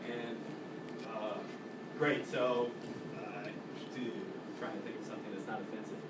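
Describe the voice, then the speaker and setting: A man's voice: mid-range voice, flat delivery, unhurried. A man in his forties giving an informal, rambling, self-deprecating speech at his military retirement ceremony. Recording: echoey hall, heard from a distance, loud noise.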